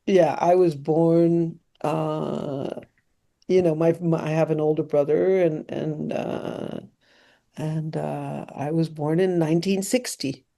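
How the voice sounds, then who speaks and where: A French-born woman in her sixties, whose first language is French, speaking English in a relaxed interview. She pauses a lot, with many fillers and restarts. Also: deep voice, vocal fry. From France. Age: 60s. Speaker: documentary filmmaker guest. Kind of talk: casual interview conversation.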